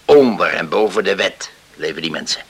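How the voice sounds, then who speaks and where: Stern, gravelly-voiced stubborn father. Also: sits low, animated, full character voice. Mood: stern, unyielding. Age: middle-aged. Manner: curt, deliberate, unyielding. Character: stern, stubborn island father guarding his daughter. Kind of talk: radio play acting.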